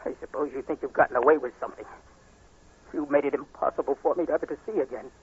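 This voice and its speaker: Casting: anguished young patient, intense radio-play delivery, medium pitch, muffled vintage sound. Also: male voice, quick pace, breathy edge. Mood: accusing. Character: anguished young male eye patient, suspicious and accusing. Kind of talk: 1940s radio acting, first-person narration and dialogue. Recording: noisy, muffled 1940s radio recording.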